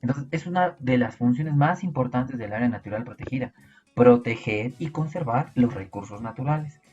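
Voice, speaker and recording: A man's voice: mid-range voice, moderate pace, darkish tone, lo-fi sound, soft background music. A man with a Peruvian Spanish accent teaching an online biology class, explaining step by step and asking his students rhetorical questions. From Peru.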